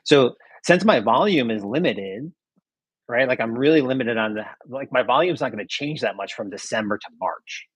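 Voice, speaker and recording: A man's voice: medium pitch, lively intonation, normal speed, breathy edge, no echo, poor audio. A man, a cycling coach, explaining training ideas casually with many "right?" checks.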